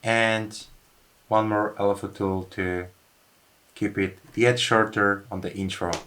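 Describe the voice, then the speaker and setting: A man's voice: on the low side, flat delivery, slow pace, fairly bright tone. A man music producer casually walking through how a track was made. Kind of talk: casual studio walkthrough.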